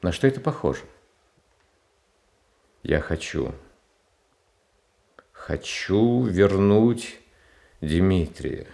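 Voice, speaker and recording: A mild young tsar in a classic radio play. His voice sits low and moves slowly, soft and pleading, though he bristles into hurt indignation when crossed. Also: animated, creaky, full character voice. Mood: agitated. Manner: soft pleading, childlike, flares into hurt protest. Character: gentle meek young tsar Fyodor. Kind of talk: 1970s Soviet radio drama.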